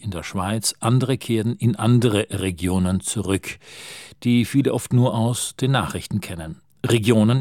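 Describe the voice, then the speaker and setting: A man presenting a news segment and introducing a reporter, speaking in a clear, formal way. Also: fairly low pitch, a little mellow, dry recording, clean studio sound. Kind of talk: scripted news narration.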